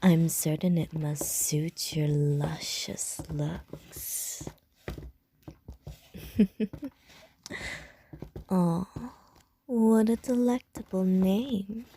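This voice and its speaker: A woman's voice: on the low side, steady tone, extremely slow, long pauses, warm tone, a little hiss or hum. A woman voicing a flirtatious, predatory female vampire in an ASMR roleplay, teasing in sly, often whispered innuendo.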